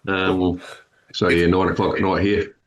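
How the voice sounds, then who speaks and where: Kiwi sheep farmer in his fifties, very low voice, plain and steady, lots of ums. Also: normal speed. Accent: New Zealand. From New Zealand. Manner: steady, matter-of-fact, with frequent ums. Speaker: podcast guest, sheep farmer and scanner. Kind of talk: conversational interview.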